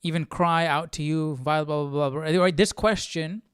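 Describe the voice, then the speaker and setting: Male Bible study streamer. Smooth, slightly high voice, halting casual commentary, close dry mic. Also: steady tone, no echo. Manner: halting, thinking aloud. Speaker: Bible study livestream host.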